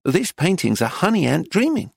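Audiobook role: bossy middle-aged woman art dealer, played by a man, pitched high, swooping delivery, close dry sound. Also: sing-song, moderate pace, vocal fry, slightly rough, no echo, exaggerated voice. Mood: sweetly explaining. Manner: scolding, emphatic saleswoman. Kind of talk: acted audiobook dialogue.

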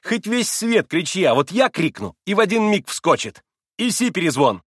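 A man's voice: mid-range voice, expressive, normal speed, fairly bright tone, no echo, clean studio sound. A man voicing a proud, eager teenage boy in an audiobook, exclaiming and explaining in a bossy showman's way. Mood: triumphant, commanding. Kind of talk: acted audiobook dialogue.

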